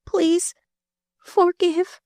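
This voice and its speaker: A woman voicing a dying young girl who speaks in faint, breathy gasps with rustic slang. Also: very high-pitched, flat delivery, extremely slow, mellow, dry recording, clean studio sound, voice pushed up, full character voice. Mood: faint pleading. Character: dying young girl, weak, frightened, defiant.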